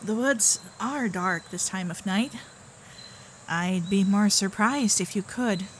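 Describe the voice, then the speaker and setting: A woman's voice: medium pitch, slight background noise, natural voice. A woman voicing a sweet, shy, protective kitsune girlfriend in an ASMR roleplay, speaking in a hesitant, caring way. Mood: gentle, caring. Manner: hesitant, flustered, caring, softly halting.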